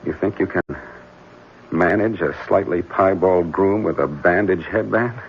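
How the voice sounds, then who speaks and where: A muffled, noisy old radio recording carries a young heir. His voice sits low and smooth, with clipped, impatient phrasing and hesitations that suggest evasion. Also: a little slow. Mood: reassuring, affectionate. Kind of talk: old-time radio drama acting.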